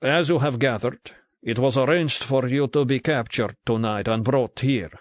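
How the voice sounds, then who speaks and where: A man's voice: mid-range voice, no echo, close to an everyday voice. A man putting on a weary Russian agent with a thick accent. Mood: tense, formal. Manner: formal, clipped, halting English. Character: tired, frightened middle-aged male Russian agent.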